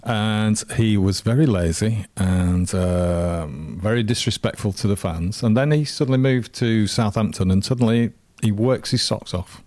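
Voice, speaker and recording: A man's voice: fairly low pitch, measured pace, hardly any pauses, darkish tone, no room sound. A middle-aged man co-hosting a casual football radio chat, teasing his co-host in a chatty, wry way.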